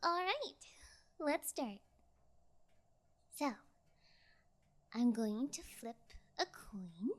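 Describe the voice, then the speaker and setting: A woman's voice: high voice, big pitch swings, extremely slow, long pauses, full character voice. A woman voicing a bored, playfully sociopathic young female kidnapper in an ASMR role play, with a lazy, teasing, falsely sweet manner. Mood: smug, falsely generous.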